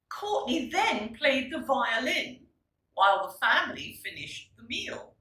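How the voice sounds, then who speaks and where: A woman teacher reads a children's story expressively, pausing to explain and address young listeners in a deliberate, instructive way. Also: sits high, bright, forceful tone. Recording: roomy echo, distant microphone.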